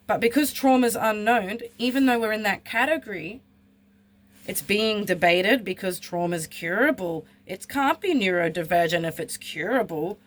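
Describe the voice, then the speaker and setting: A woman, talking bluntly and with frustration in a personal vlog. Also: medium pitch. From Australia. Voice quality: husky. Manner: blunt, frustrated, swears freely. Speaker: vlogger.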